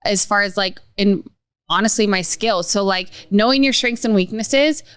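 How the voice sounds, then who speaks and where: A woman's voice: medium pitch, moderate pace, clean tone, no room sound. A young woman co-hosting a podcast in casual chat, candid and swearing casually. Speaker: podcast co-host.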